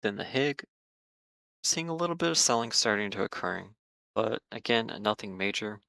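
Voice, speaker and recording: A man hosting market commentary for viewers, speaking in a measured, cautious way with frequent ums and repeated words while losing his voice. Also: lowish voice, expressive, gravelly, bright, forceful tone, no echo. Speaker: market analysis host.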